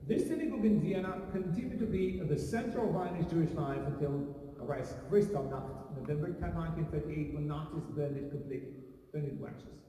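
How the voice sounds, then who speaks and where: Fast-talking man in his fifties, echoey hall. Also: on the high side, mellow. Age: 50s. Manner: formal, elaborate wording. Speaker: synagogue caretaker and guide. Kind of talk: explanatory tour talk. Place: inside a large synagogue hall. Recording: very echoey hall, distant, faint noise.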